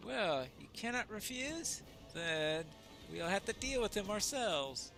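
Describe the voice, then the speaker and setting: A man's voice: sits high, sing-song, takes it slowly, gravelly, pushed and bright. A man giving casual video game commentary, with musing, understated asides. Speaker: gamer, playthrough commentator. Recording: faint game music behind.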